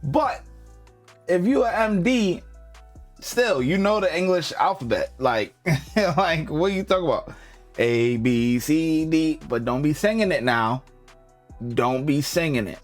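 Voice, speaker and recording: A man's voice: on the high side, animated, a little slow. A male reaction host riffs in loose, slangy commentary and jokes over a faint bed of music. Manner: joking, slangy, laughs.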